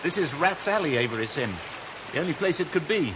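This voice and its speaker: An actor playing an eager, wary citizen turned rebel guide, urgent and excitable, in a 1970s sci-fi radio drama. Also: male voice, fairly high pitch, brisk, natural voice. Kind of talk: 1970s science fiction radio drama acting. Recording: dull vintage radio recording, stream noise.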